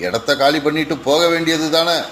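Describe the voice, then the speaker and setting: A man's voice: a little high, fairly quick, fairly bright tone, a little hiss or hum, close to an everyday voice. A man voicing a bullying, scornful male crow in a children's cartoon, with a taunting, bossy manner. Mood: threatening.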